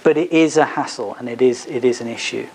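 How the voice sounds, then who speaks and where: A man's voice: medium pitch, brisk, a little mellow, rough recording. A man speaking as a lawyer at a seminar, answering questions in a hesitant, explanatory way. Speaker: advocate giving a legal seminar. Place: seminar at a business hub. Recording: faint background noise.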